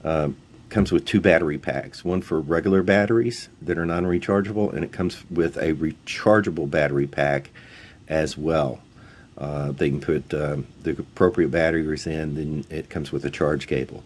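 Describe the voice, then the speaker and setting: A man's voice: very deep voice, normal speed, darkish tone. A man hosting a video, explaining gear in an informal, folksy, plain-spoken way. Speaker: YouTube channel host. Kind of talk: casual product overview, explaining radio gear.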